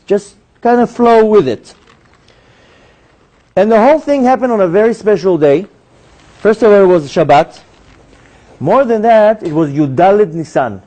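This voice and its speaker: High-voiced man telling his story. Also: poor audio. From Israel. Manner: animated storyteller who acts out dialogue in a higher voice. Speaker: speaker giving a talk. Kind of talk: storytelling lecture to an audience. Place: synagogue hall.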